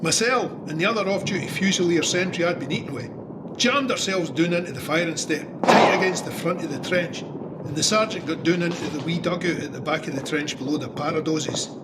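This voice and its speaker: Higher-pitched Scottish soldier played by a man, noisy battlefield. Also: fairly quick, distant mic, natural voice. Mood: animated recounting. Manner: conversational, storytelling. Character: young Scottish cavalryman soldier recounting trench shelling, wry and plainspoken. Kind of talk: dramatised first-person memoir narration.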